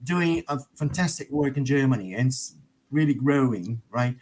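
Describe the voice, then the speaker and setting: A man's voice: mid-range voice, moderate pace, darkish tone, breathy edge. A man hosting a casual panel discussion, steering the talk with questions and often tagging his points with "right?". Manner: guiding questions, tag "right?". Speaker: discussion host.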